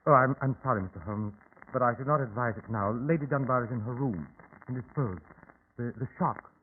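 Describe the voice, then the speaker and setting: An actor playing a polite, anxious gentleman of a manor, formal and hesitant, in an old-time radio drama. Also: medium pitch, a little fast, a little hiss or hum, natural voice. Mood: guarded, hesitant. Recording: tinny, phone-like old radio recording.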